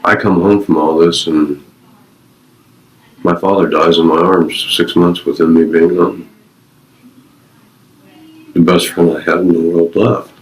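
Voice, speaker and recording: A man's voice: low-pitched, fairly even intonation, unhurried, pauses often, rough recording. A man in his fifties, a retired Navy veteran being interviewed, sharing personal stories and life advice earnestly in short, emphatic, repeated phrases. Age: 50s.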